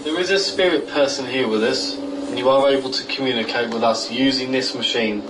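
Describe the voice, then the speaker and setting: A man plays a polite paranormal investigator in a radio play. He is heard at a distance from the mic amid clear noise, calling out formally with a mid-pitched voice. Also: a little fast, natural voice. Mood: earnest, calling out. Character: earnest, polite amateur ghost hunter man. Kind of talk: audio drama acting.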